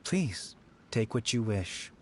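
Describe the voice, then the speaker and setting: An actor playing a calm, cryptic wandering hermit in a fantasy video game, with a measured, archaic, musing manner. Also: male voice, fairly low pitch, noisy background. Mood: serene, accepting. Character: serene, cryptic male wandering hermit, poetic and wry. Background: game music.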